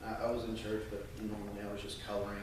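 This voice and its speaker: An American man speaking earnestly and a little haltingly to a congregation, as a church member sharing testimony and scripture. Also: on the low side, monotone. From United States. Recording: very echoey room, distant mic, faint noise.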